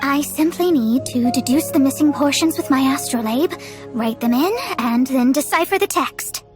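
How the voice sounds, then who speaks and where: A woman's voice: high voice, moderate pace, music in the background, close to an everyday voice. A woman voicing a proud, self-assured young astrologer in a video game, articulate and grandiose. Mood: boastful.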